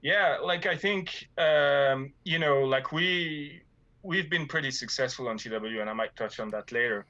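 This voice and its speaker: A man's voice: a little high, unhurried, pushed and bright, dull audio. A man from France with a French accent, talking about his gaming guild in a casual interview, hesitant with many fillers and restarts. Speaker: guild leader.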